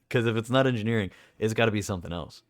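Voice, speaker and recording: A young man with a deep voice, talking casually and rambling with lots of fillers and restarts as a streamer. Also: fast, dry recording, clean studio sound. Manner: rambling, self-correcting, many fillers.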